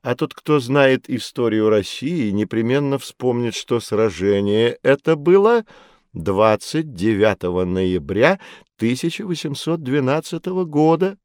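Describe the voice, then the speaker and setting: An actor playing a knowledgeable, patient mentor who explains things fondly to children in an educational audio drama. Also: male voice, mid-range voice, lively intonation, measured pace, a little mellow, clear, resonant tone, dry recording, studio quality, close to an everyday voice. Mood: patient, teasing. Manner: didactic, addressing children fondly.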